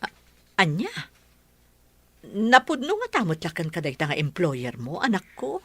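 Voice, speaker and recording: A woman's voice: fairly low pitch, wildly swooping pitch, measured pace, a bit bright, breathy edge, dry recording, heavily acted. An actress with a Filipino accent playing a caring, cautious middle-aged mother in a radio drama. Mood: concerned. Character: caring, cautious mother advising her grown daughter.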